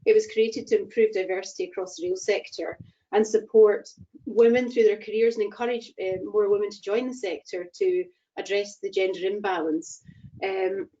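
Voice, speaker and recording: A woman's voice: medium pitch, steady tone, moderate pace, warm tone. A woman with a Scottish accent giving a cheerful, enthusiastic introduction on a webinar call. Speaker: organisation chair introducing itself. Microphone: video call. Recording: dull online call audio.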